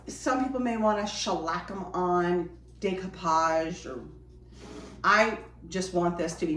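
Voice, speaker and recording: A woman chatting enthusiastically to viewers while doing a quick craft project, a bit sniffly from a cold. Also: medium pitch. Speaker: DIY vlogger. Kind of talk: casual how-to chat while crafting. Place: home decorating space, indoors. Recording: roomy echo, distant from mic, faint noise.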